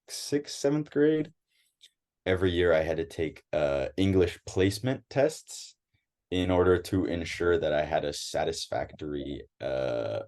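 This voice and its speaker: A man's voice: a bass voice, darkish tone. A young American man chatting as an interview guest, hesitant, with many restarts and fillers. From United States. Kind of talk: casual interview chat.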